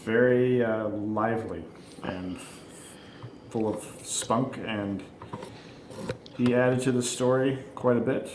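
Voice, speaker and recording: A man's voice: medium pitch, fairly even intonation, takes it slowly, pauses often. A man chatting casually as a panelist on a film review, joking dryly. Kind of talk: casual chat. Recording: roomy echo, faint background noise.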